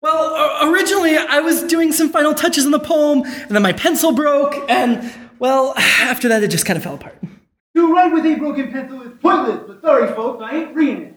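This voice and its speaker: A man's voice: extremely high pitch, a little fast, a bit bright. A man voicing a stubborn, sulky cartoon moose in a whiny, put-upon way for a live radio-style sketch. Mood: sulky, apologetic. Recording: echoey live room.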